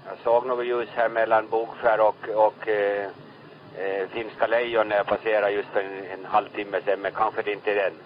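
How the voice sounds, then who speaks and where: A man working as a ship's radio operator, giving a level, unhurried report over the radio. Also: fairly low pitch, flat delivery. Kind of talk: radio procedure talk. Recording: distant, muffled archive radio.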